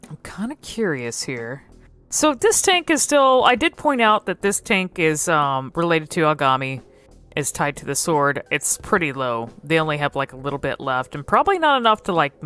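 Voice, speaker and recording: A woman giving casual game commentary as a video creator, thinking aloud in a halting, musing way. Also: low voice, sing-song, sharp tone, smooth, faint music behind.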